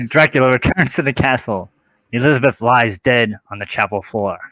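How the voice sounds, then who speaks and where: A man's voice: mid-range voice, moderate pace, bright, forceful tone, no echo, rough recording. A man narrating scenes and stage directions in an amateur script read-through.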